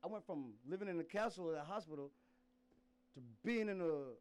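A man's voice: a little high, fairly quick, darkish tone, clean tone. A man from South Sudan telling a rambling personal story in casual chat, laughing often and restarting his sentences.

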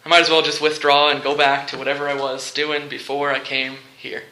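Bright-voiced male preacher in an echoey room. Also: on the high side, completely flat delivery, a little hiss or hum. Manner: rhetorical, short phrases with deliberate pauses and repetition. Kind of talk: sermon with movie illustrations and humour. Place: live talk in a large room before listeners.

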